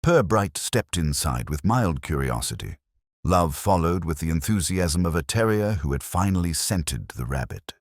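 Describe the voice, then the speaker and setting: A man reading scripted detective-story narration in a husky voice. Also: sits low, very animated, normal speed, no echo, studio quality.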